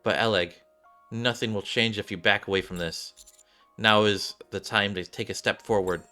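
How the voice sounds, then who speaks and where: A man voicing a calm, reassuring confidant in a game story, gently firm. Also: on the low side, normal speed, faint music behind.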